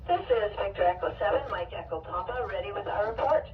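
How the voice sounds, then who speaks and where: A woman radio operator giving a measured procedural check-in report over a radio transmission, repeating figures digit by digit. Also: mid-range voice, animated. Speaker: station operator reporting to a net. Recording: tinny narrowband distant radio, faint noise.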